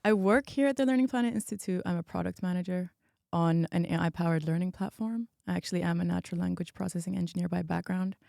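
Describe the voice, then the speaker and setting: Low, creaky-voiced woman in casual discussion. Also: quick pace, mellow, no echo, clean studio sound. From Bahrain. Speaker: podcast guest, product manager. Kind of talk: casual round-table discussion.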